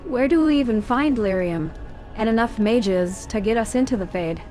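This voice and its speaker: A woman's voice: a little high, animated, moderate pace, warm tone, faint music behind, natural voice. A woman voicing an elven heroine in a video game, direct and questioning. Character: elven woman warden, player hero. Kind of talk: fan-voiced game protagonist.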